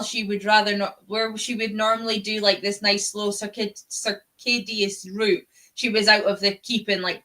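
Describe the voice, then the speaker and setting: Bright-voiced woman gushing in casual chat. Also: medium pitch. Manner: gushing, sweary, enthusiastic fan talk. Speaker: book club live show host. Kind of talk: casual chat about books.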